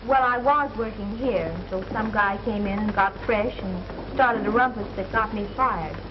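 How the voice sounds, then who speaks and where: A woman's voice: mid-range voice, moderate pace, natural voice. An actress playing a guarded young showgirl in a 1930s American film comedy, with dry, cool retorts. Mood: wry. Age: young adult. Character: wry, guarded young showgirl down on her luck. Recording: muffled, noisy 1930s film soundtrack.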